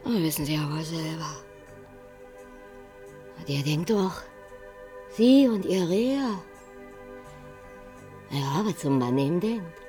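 A woman's voice: low-pitched, lively intonation, slow, long pauses, mellow, soft background music. An actress playing a blunt, world-weary woman colleague in a radio drama, talking in a sceptical, colloquial way. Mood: sardonic, warning. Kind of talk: 1980s radio drama dialogue.

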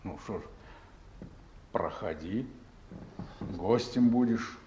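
A man's voice: on the low side, slow, mellow, lo-fi sound, slight background noise. An actor playing a weary, proud reformed ex-convict man in a Soviet radio drama, speaking tersely. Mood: guarded, subdued.

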